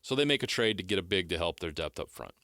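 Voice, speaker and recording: A man hosting a sports radio show, brisk as he leads the segments and reads out the news and injury lists. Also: low voice, expressive, sharp tone, dry recording, studio quality. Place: radio studio. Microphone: studio microphone.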